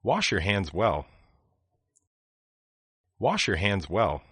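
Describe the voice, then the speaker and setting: Male phrase reader for a language course: very low, husky voice, slow and deliberate delivery. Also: very animated, a bit bright, dry recording. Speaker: language lesson speaker. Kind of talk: reading phrases for learners.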